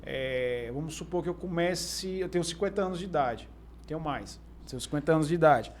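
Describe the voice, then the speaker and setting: A Brazilian man with a fairly high voice hosts a casual podcast conversation. He feels his way through recaps and what-if questions in a halting manner. Light noise sits behind him. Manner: halting, recaps and tests hypothetical examples. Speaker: podcast host. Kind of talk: informal conversational interviewing.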